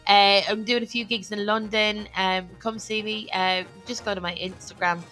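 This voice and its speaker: Woman, comedian guest, bright tone, giggly, rambling, faint music. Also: mid-range voice, little pitch movement, moderate pace, rough recording. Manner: giggly, rambling with many fillers. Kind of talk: casual comedy chat.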